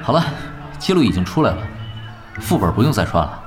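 A man's voice: lowish voice, very animated, faint music behind. An actor playing a laid-back, wry veteran male gamer, still young, in an audio drama, speaking without hurry. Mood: nonchalant. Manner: unhurried, wry.

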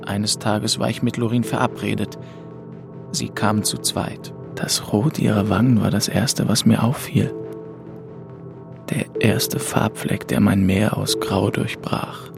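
A man's voice: on the low side, fairly even intonation, takes it slowly, gravelly, exaggerated voice. A man acting a melancholy, drifting twenty-two-year-old who narrates his travels introspectively in a literary radio drama. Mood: brooding, reflective. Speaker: narrator and lead actor. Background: music under the voice.

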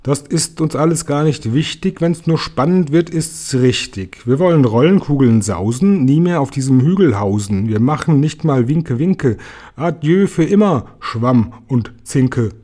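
A man voicing an excitable, boastful male centipede in a children's story, with gleeful, sing-song delivery. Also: mid-range voice, unhurried.

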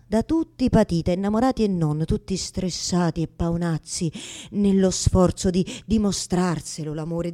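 A woman's voice: on the low side, warm tone, natural voice. An actress performing a neurotic, lovelorn woman in a rambling, colloquial theatrical radio monologue addressed to God, full of repetitions and tag questions. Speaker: stage actress in a solo monologue.

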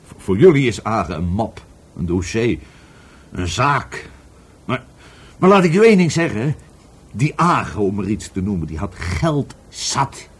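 Husky, stammering, volatile businessman under questioning. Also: fairly low pitch, big pitch swings, measured pace, exaggerated voice. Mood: agitated, bitter. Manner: stammering, repeating words, rambling. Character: evasive, volatile middle-aged businessman questioned by police. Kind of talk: Dutch radio play acting.

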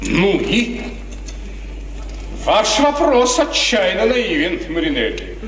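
An actor playing a proud, hot-tempered young count, haughty, curt and sarcastic, in 1950s radio theatre style. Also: male voice, sits high, wildly swooping pitch, slow pace, a bit bright, recorded from a distance, exaggerated voice. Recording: echoey, faintly noisy 1950s radio drama recording.

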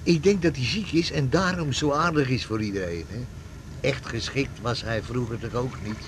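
A man's voice: mid-range voice, normal speed, natural voice. An actor playing a chatty, nosy ferryman, blunt and folksy, in a naturalistic radio drama. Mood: grudging, chatty. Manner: blunt, folksy, tags remarks with questions. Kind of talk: naturalistic 1980s Dutch radio drama acting. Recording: loud engine-like noise behind the voice.

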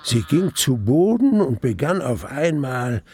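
A male actor playing an emotional, horrified witness at the opera, narrating a radio drama in a gravelly voice with deliberate, theatrical emphasis. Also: mid-range voice, sing-song, noisy background. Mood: appalled, incredulous.

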